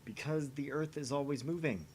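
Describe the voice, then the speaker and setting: A man's voice: on the high side, normal speed, a little mellow, slight background noise. A man co-hosting a visual novel read-along, voicing dialogue theatrically and slipping into jokey, self-deprecating banter. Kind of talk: animated reading of game dialogue with casual banter.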